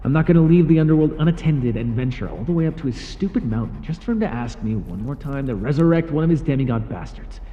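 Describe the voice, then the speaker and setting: A man's voice: medium pitch, expressive, fast, hardly any pauses, big-room sound, highs cut off, a little hiss or hum, close to an everyday voice. A man voicing an irritable male god who rules the underworld, speaking in a haughty, scolding way with dry sarcasm, as an audio roleplay. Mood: grumbling.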